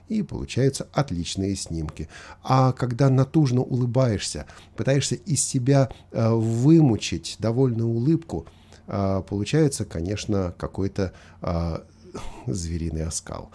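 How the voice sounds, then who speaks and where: A man's voice: low voice, dark tone, breathy edge. A man hosting a conversational talk show, questioning and arguing his points and acting out imagined remarks. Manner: argumentative, questioning, acts out imagined quotes.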